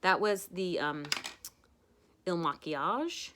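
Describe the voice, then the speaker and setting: Low-voiced woman chatting through makeup. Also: animated, slow, background noise. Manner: chatty, rambling with many fillers. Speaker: makeup tutorial host. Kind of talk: casual makeup tutorial. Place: home.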